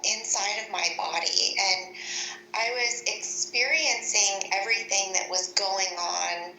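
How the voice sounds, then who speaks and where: A woman telling her personal story as an interview guest, deliberate and matter-of-fact. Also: mid-range voice, creaky, bright, forceful tone. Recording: echoey room, faint background noise.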